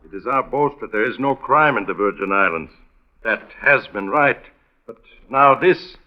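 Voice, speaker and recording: A man's voice: medium pitch, lively intonation, normal speed, natural voice. A man playing a polite, earnest native island policeman in a 1950s radio drama, speaking in formal, careful phrases. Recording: muffled vintage radio broadcast.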